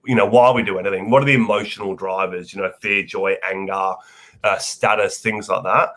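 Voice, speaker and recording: A man's voice: on the low side, fairly even intonation, a little fast, fairly bright tone. An Australian man with a head cold, talking casually as a podcast guest and rambling through many fillers and restarts. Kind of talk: casual interview chat.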